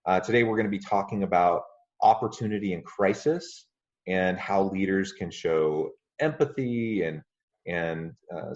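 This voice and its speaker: A man hosting a podcast interview, speaking in a friendly, measured way with frequent fillers. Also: deep voice, darkish tone, muffled recording. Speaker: podcast host.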